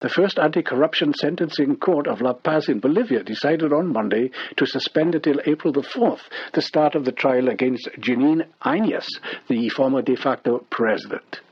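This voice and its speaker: Husky man reading news over muffled radio. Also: medium pitch, moderate pace, few pauses. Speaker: radio newsreader. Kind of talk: scripted news bulletin. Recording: dull, muffled shortwave radio sound.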